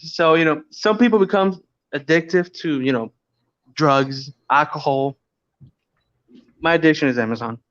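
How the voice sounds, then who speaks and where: A man's voice: fairly high pitch, normal speed, pauses often, fairly bright tone. Joking Cuban-born man on a livestream. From Cuba. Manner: joking, rambling, chuckles while talking. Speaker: livestream host. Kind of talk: casual chat. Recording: dull lo-fi stream audio.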